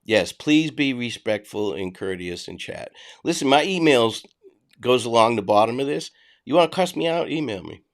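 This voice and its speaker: A man's voice: mid-range voice, expressive, normal speed. A middle-aged man with a Boston accent, hosting a casual livestream, reading and answering chat in a blunt, conversational way. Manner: blunt, conversational, frequent restarts.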